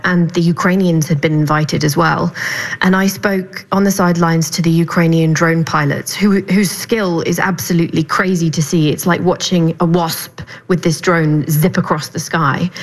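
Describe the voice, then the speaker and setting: A woman's voice: lowish voice, low-quality recording. A woman speaking as a news correspondent, explaining her reporting in an interview. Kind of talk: spoken interview analysis, recounting reporting.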